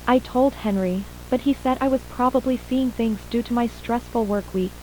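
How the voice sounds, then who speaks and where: Shaken mother, high mellow voice, acted by a woman. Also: moderate pace, lightly acted, rough recording. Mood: subdued, uneasy. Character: shaken mother and wife, surviving witness of an attack. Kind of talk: acted interview in an analog horror audio drama. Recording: faint hum and hiss.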